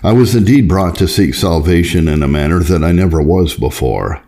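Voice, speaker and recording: Very deep-voiced man reading solemnly. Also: expressive, moderate pace, mellow. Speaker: audiobook reader. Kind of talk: scripted reading of a Puritan memoir.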